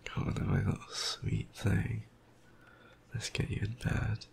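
A tired young man with a croaky voice, softly whispering an affectionate boyfriend roleplay close to the mic. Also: fairly low pitch, level tone, slow pace, slight background noise. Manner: soft, affectionate whispering with quiet laughs. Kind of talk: ASMR roleplay.

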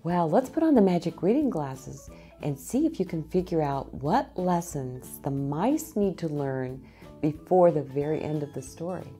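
A middle-aged woman hosting a children's storytime, reading aloud in a deliberate way and speaking directly to young listeners. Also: sits low, expressive, dark tone, soft background music. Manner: deliberate phrasing, addressing child listeners with questions. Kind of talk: slow, animated picture-book read-aloud for young children. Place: small indoor set with bookshelves, seated in an armchair.

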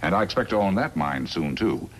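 An actor playing a smug, calculating crooked lawyer and boss in a 1950s radio western, with an insinuating manner. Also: male voice, low-pitched, very animated, fairly quick. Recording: noisy vintage 1950s radio recording.

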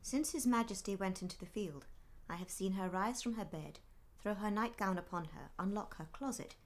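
An actress playing a discreet, watchful lady-in-waiting, hushed and breathy, in classical radio drama. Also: mid-range voice, moderate pace, close to an everyday voice. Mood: hushed worry. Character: watchful, discreet gentlewoman attending a queen.

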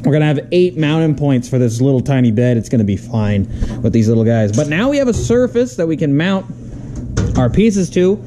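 A man calmly explaining each step of his workshop build, filmed on an action camera in a large garage. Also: fairly high pitch, brisk, a little hiss or hum. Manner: relaxed, explains steps as he goes. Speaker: garage builder showing a project. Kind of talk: casual how-to workshop vlog. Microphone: handheld or body-worn action camera.

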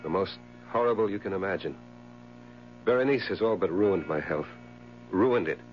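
An actor in a 1970s radio drama playing a tormented, brooding husband, speaking in a grave, formal, melodramatic way. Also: male voice, low voice, moderate pace, faint music behind, natural voice. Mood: bitter. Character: tormented, brooding husband loathing his dying wife. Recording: muffled 1970s radio recording with hum.

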